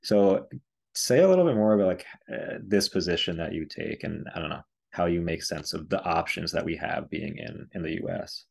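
Deep gravelly male interviewer. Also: moderate pace. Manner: searching, many fillers like "like". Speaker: interviewer, host. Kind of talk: conversational interview questions.

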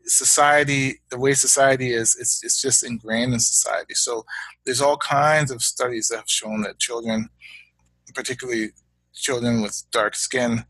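A Canadian man and father of young children, talking as a guest in a reflective interview, measured and halting, often repeating words as he thinks. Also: mid-range voice, steady tone, sharp tone, slightly rough, no echo, low-quality recording.

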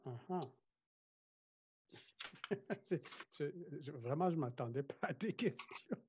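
A man's voice: fairly high pitch, lively intonation, takes it slowly, a little mellow, slight background noise. A man from Rwanda who grew up speaking Kinyarwanda, talking casually as an interview guest, hesitant, with many restarts and frequent laughs. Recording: dull lo-fi sound.

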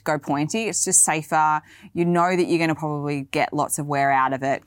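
A woman's voice: sits low, no echo. A young Australian woman hosting a fashion podcast, chatting casually and enthusiastically about her things, with plenty of fillers. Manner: chatty, enthusiastic, lots of likes and ums. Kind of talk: casual product walkthrough.

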